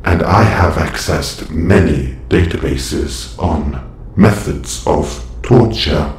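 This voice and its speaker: Guy doing a deep robot superhero for audio roleplay, stiff and calmly menacing, echoey with faint noise behind. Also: exaggerated voice. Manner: measured, stiff, precise, formal delivery. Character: male robot superhero, calm, formal, quietly menacing. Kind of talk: scripted audio roleplay acting.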